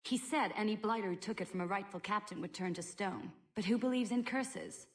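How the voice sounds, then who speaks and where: A woman's voice: mid-range voice, fairly quick, close to an everyday voice. A woman voicing a scornful, salty pirate sailor turned to stone, scolding in a video game. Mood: bitter, scornful.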